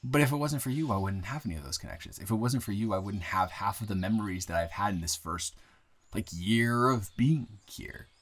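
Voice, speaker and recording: Man acting as smitten young newcomer, lowish voice, earnest, halting, rambling, intimate romantic audio roleplay. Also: animated, slight background noise, lightly acted. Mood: earnest, tender. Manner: halting, rambling, with repeated words and nervous chuckles. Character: earnest, smitten 23-year-old man, new in town.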